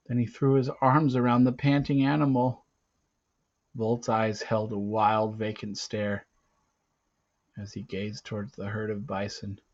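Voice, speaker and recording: A man narrating a storybook aloud theatrically, with dramatic pauses and swings between hushed and loud delivery. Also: fairly low pitch, lo-fi sound.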